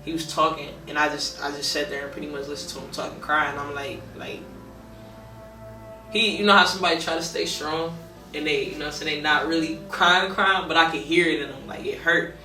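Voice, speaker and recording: A young woman from with a deep, monotone voice tells a personal story in a relaxed, rambling documentary interview. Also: a little fast. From Detroit. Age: young adult. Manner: laid-back, rambling, heavy with fillers. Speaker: college basketball player. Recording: roomy echo, distant mic, music behind.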